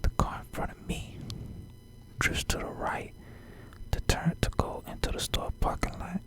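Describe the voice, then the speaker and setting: A young adult American man whispering an ASMR story in a slangy, rambling way, often repeating himself and checking in with the listener. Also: moderate pace.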